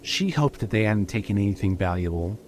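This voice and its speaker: A man's voice: fairly low pitch, expressive, a little fast, a little mellow. A man narrating a scripted fiction story in the third person. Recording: clearly noisy background.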